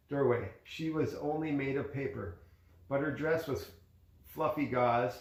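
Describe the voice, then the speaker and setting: Man reading a storybook in an echoey room. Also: mid-range voice. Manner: conversational, pointing out pictures to a child. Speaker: father reading a story aloud to his child. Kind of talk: storybook read-aloud with casual asides.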